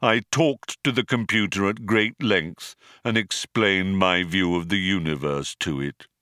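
A man voicing a gloomy, self-pitying robot in an audiobook, with a muffled, monotone drone and a slow, dejected, resigned delivery. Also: deep voice, no echo, clean studio sound. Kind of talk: audiobook character voice.

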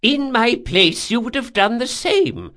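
A man's voice: very high voice, huge pitch swings, a little slow, sharp tone, voice pushed up, full character voice. A man narrating an audiobook, voicing a wizened, wealthy old man who is haughty and formal, bargaining indignantly. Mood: pleading. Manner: haughty, formal, pleading when frightened.

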